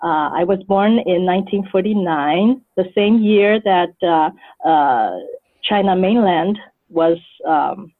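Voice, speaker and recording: A woman in her seventies from Taiwan, an author answering interview questions thoughtfully, with frequent pauses and fillers. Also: on the low side. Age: 70s. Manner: thoughtful, hesitant, many fillers. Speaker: author guest. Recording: phone-like call line.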